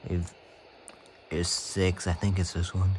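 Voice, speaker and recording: Low-voiced, halting man reviewing radios casually. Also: completely flat delivery, darkish tone. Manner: halting, tentative, informal. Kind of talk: casual hands-on gadget review. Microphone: phone filming close by. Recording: noisy amateur phone recording with static.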